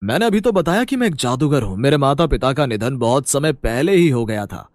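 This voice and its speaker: A man's voice: medium pitch, big pitch swings, fairly quick, lightly acted. A man voicing an earnest, self-assured young magician suitor in a moral story. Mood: earnest, reassuring. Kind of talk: acted dialogue for moral story.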